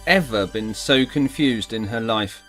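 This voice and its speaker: A man's voice: lowish voice, unhurried, a bit bright, faint music behind. A man narrating a dramatised audiobook in a scripted, storytelling style.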